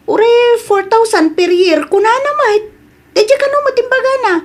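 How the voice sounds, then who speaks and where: A woman's voice: very high-pitched, moderate pace. A woman playing a poor, anxious but grateful wife in an audio drama, emotive, with an Ilocano accent. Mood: grateful, hopeful.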